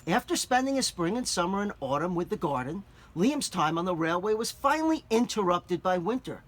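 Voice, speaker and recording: A man reading a picture book aloud to children, gently stopping to explain words and show things. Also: sits high, very animated, brisk. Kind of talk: children's read-aloud with asides.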